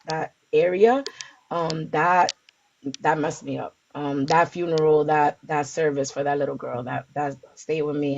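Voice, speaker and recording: A woman giving casual interview answers, informally and hesitantly, searching for her words. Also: deep voice. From the Bronx, New York, United States. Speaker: interview guest, community organizer. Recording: dull lo-fi audio with background noise, clicks.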